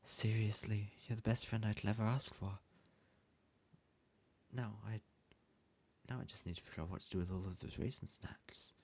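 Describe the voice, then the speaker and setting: Man playing a husky, bumbling lovesick yandere boy. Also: fairly low pitch, moderate pace, long pauses, a little mellow. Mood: grateful. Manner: hesitant, stammering, wheedling. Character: bumbling, pleading, lovesick young male yandere student. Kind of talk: ASMR roleplay, addressing the listener.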